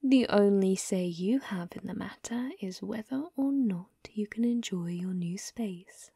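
A woman playing a young, possessive and sadistic yandere captor in an ASMR roleplay, taunting in a mockingly sweet, breathy, cooing way. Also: medium pitch, measured pace, dark tone, dry recording, studio quality. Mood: cold threatening. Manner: taunting, teasing, cooing, breathy drops to whisper.

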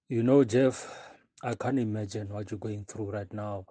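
A man's voice: on the low side, mellow, no echo. A man playing an effusively concerned acquaintance in an audio drama, emphatic and given to repeating himself. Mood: sombre sympathy. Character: effusively concerned male acquaintance receiving a man at his office.

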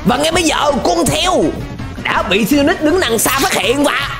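A man's voice: extremely high pitch, animated, normal speed. A young man with a southern Vietnamese accent giving playful, exclamatory reaction commentary as a host, tossing in English interjections at a close microphone. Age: young adult. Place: small indoor streaming setup. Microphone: close desk microphone. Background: music.

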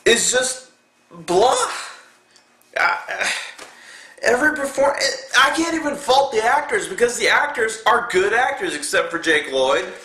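A man's voice: very high voice, animated, measured pace, sharp tone, roomy sound. A man in his twenties giving a casual, profane movie review, blunt and exasperated. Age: 20s. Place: indoor room in front of a dark backdrop.

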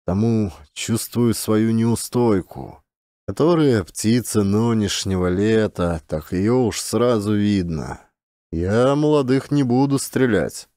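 A simple village huntsman , acted by a male narrator, grumbles good-naturedly in rustic, folksy speech. His voice is low and dark, in the manner of an audiobook drama. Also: expressive, slow, dry recording, clean studio sound. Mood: gentle musing.

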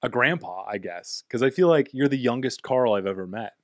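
A young man hosting a comedy podcast in a small home studio, talking on a boom mic in a playful, joking way. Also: animated, fairly quick, no room sound. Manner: playful, jokey, bursts of loud laughter. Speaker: podcast host. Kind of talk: casual comedic chat. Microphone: studio mic on boom arm.

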